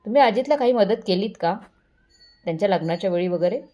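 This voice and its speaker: A woman's voice: medium pitch, animated, fast talker, dark tone, lightly acted. A woman voicing a boastful, condescending wealthy mother-in-law with showy, patronizing politeness, in a family story. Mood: smug.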